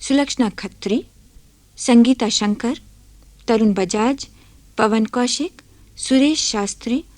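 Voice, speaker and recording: A woman announcer reads formal credits in a dry studio sound. Her pace is slow and deliberate, her phrasing precise, and her pitch a little high. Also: a little mellow, no room sound. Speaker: radio announcer.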